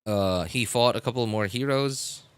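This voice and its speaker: A man's voice: mid-range voice, normal speed, slight background noise. A man streaming live, chatting casually and rambling, with many fillers and self-corrections as he answers his chat.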